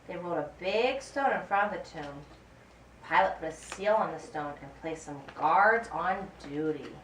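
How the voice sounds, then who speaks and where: Woman, puppet show narrator, scripted story reading, animated intonation, slightly low voice, faint background noise. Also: moderate pace. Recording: roomy, distant mic, faint background noise.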